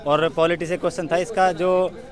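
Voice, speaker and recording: A man's voice: on the high side, little pitch movement, normal speed, a crowd in the background. A young man chatting casually as an exam candidate in an interview. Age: young adult. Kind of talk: casual chat. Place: outdoors on a street.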